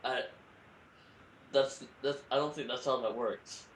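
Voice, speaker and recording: A man making a prank call in a halting, awkward, deadpan and naive-sounding conversational manner. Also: mid-range voice. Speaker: prank caller. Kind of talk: casual improvised phone conversation. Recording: roomy echo with faint background noise.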